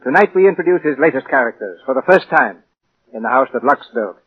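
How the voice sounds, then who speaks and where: A man's voice: medium pitch, expressive, a little fast, breathy edge. A man presenting a radio show in a formal, scripted 1940s style, grand and oratorical. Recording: muffled old radio broadcast with music.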